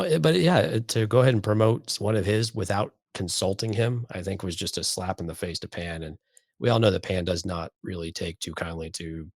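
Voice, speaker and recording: Deep voiced guy, bit raspy, just chatting as a podcast cohost, no room echo. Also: studio quality. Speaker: podcast co-host. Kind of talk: casual discussion commenting on an audio drama.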